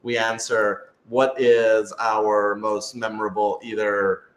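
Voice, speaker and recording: A man with a fairly low voice talks casually in a roomy, echoey space. He thinks aloud as he answers, hedging and building his sentences loosely, like a writer in an informal Q&A. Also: flat delivery, slow pace. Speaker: scriptwriter and producer of an audio drama. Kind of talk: casual group Q&A chat.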